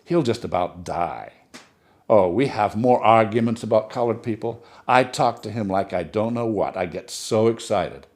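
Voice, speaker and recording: A man's voice: on the low side, normal speed, a little mellow, natural voice. A man reading a short story aloud, voicing a chatty, gushing society woman who talks in a breathless stream and keeps praising herself.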